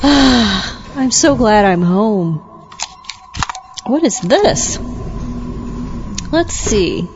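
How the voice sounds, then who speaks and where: A woman's voice: a little high, sing-song, drawn-out words, pauses often, noisy background, full character voice. A woman playing a weary, curious character talking to herself in an audio drama skit. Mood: relieved, curious. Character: weary woman home, curiously fiddling with buttons. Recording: muffled, music behind.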